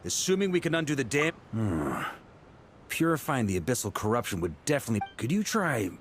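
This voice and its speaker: A man plays an easygoing knight from a fantasy game, with a mid-pitched, animated voice. He is wry and thoughtful, musing conversationally over faint background noise. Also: a little fast, close to an everyday voice. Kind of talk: video game character acting.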